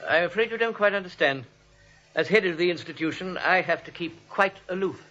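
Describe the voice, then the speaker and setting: An actor playing a stuffy, lonely institution director, formal and reserved, in 1940s radio drama style. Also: male voice, high-pitched, fairly quick, bright, forceful tone. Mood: stiffly aloof. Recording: muffled vintage 1940s drama recording.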